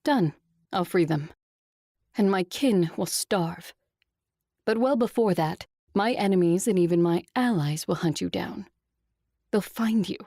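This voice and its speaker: An actress playing a proud, seductive vampire ruler in an audio drama, with a cool, controlled, imperious manner. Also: female voice, mid-range voice, a little slow, long pauses, mellow, no echo, clean studio sound. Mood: cold, controlled. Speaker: voice actor.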